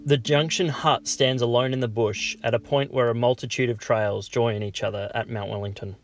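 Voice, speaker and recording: A man, narrating a bushwalk vlog in a calm, steady voice-over. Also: medium pitch, normal speed. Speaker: vlogger narrating a hike. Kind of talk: scripted travel narration. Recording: voice-over with guitar music behind.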